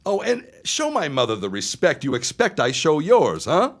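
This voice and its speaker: A man's voice: on the high side, huge pitch swings, moderate pace, full character voice. An actor playing a cold, domineering 1910s businessman husband in an audio drama, brusque, dismissive and commanding. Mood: irritated, condescending. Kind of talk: period audio drama acting.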